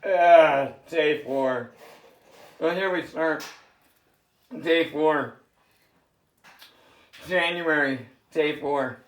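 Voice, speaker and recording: A man from San Diego with a rough, worn voice, rambling through a half-sung freestyle and chatty, swearing talk at home. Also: a little high, extremely slow, pauses often, echoey room. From San Diego, California. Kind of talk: improvised singing and casual vlog talk.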